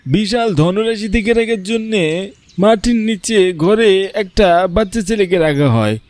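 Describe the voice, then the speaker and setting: A man voicing a very old village grandfather in a cartoon, recalling an old tale in a drawn-out elder's manner. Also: high voice, a little mellow, no room sound. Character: oldest village grandfather, reminiscing storyteller. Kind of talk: Bengali cartoon story dialogue.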